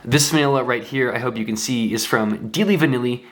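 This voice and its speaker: A man's voice: mid-range voice, brisk. A man chatting to camera about his travels, eager and full of exclamations. His voice sits in a middling register and rings in a roomy, echoey space. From United States. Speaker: travel vlogger. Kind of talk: casual travel vlog talk to camera. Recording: roomy echo.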